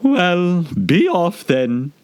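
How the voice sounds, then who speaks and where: A storybook pigeon, frantic and scolding, acted out by a man in a very high, shrill voice. The pitch swings dramatically through sobbing indignation and sulky contempt, like an overwrought mother bird at the end of her patience. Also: very animated, extremely slow, a little mellow, clear, resonant tone, full character voice. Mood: sulky, accusing. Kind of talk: storybook character voice.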